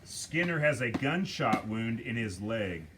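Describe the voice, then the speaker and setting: A man reading the stage directions aloud at a script table read, measured and deliberate. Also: medium pitch, animated, background noise.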